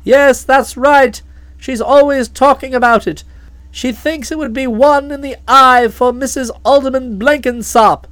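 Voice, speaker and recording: A woman reader voicing an excitable, forceful older aunt who talks loudly and emphatically. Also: sits high, a little slow, no echo. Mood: agreeing. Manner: loud, emphatic, impatient.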